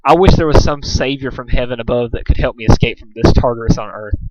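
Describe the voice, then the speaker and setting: A man's voice: medium pitch, fast talker, darkish tone, natural voice. A man voicing a long-suffering young male cartoon pony, weary, pained and complaining. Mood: despairing. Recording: dull lo-fi home recording.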